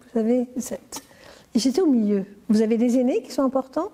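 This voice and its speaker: Fifties woman, really dark mellow voice, trails off a lot, echoey room. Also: a little high, animated, a little fast. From Portugal. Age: 50s. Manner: hesitant, trailing off with fillers. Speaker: interviewee. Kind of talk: casual conversation.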